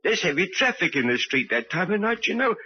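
Muffled old radio sound frames a timid elderly doorkeeper. He is husky and rather high, humble and hesitant, eager to avoid trouble. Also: very animated, fairly quick, exaggerated voice. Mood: nervous, apologetic. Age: older. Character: timid, deferential old male theatre doorkeeper. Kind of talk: old-time radio drama acting. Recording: dull vintage radio recording.

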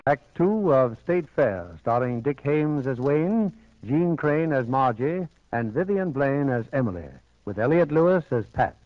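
A man's voice: medium pitch, expressive, measured pace, slight background noise. A man reading scripted narration as a 1940s radio drama announcer. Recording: dull 1940s radio broadcast over music. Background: music.

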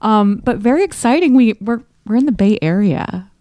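A woman's voice: a little high, animated, normal speed, warm tone, no echo. A woman co-hosting a podcast in a casual, chatty book club style, talking and reading aloud from listener letters. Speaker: podcast co-host.

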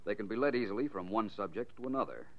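A suave, shrewd private detective from vintage radio. His voice is fairly low, with lively, swinging intonation and a wry, precise delivery. The sound is dull and aged. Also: quick pace. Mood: explaining, confident. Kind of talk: 1940s radio detective acting. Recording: muffled vintage 1940s radio recording.

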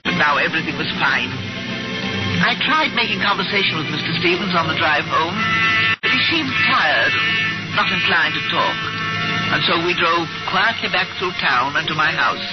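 A woman's voice: on the low side, lively intonation, moderate pace, natural voice. A middle-aged actress playing a polite, anxious aunt who tells her suspense story in a 1940s radio drama style, often hesitant. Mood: anxious narrating. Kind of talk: 1940s radio suspense acting, first-person narration. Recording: muffled old radio recording, loud music.

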